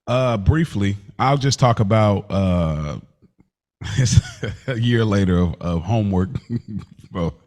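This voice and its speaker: Low-pitched man in loose podcast chat. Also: slow pace, darkish tone. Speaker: podcast co-host. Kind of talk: casual chat, personal reflection.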